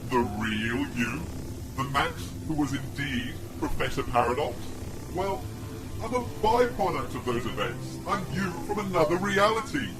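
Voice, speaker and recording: An actor playing a weary, solemn man cursed to be a dishwasher, confessing haltingly in an audio drama. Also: mid-range voice, expressive, music in the background, close to an everyday voice, rough recording. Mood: weary, confessional.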